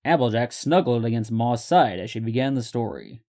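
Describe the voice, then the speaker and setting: A man's voice: medium pitch, expressive, normal speed, mellow. A man narrating a scripted story as the host of a fiction reading.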